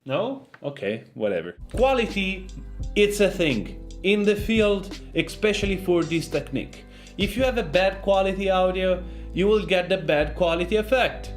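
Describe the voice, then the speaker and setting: A man with a fairly high voice and non-native English explains sound design. He is informal and jokes at his own expense. Music plays faintly behind him. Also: lively intonation, moderate pace. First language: not English. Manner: joking, self-deprecating, informal. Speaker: sound designer, tutorial host. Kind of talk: informal video tutorial.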